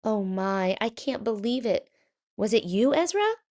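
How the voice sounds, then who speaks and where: A woman voicing an earnest, worried male painter in a children's picture book, with animated, expressive delivery. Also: fairly high pitch, unhurried, pitched above the performer's own voice. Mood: amazed.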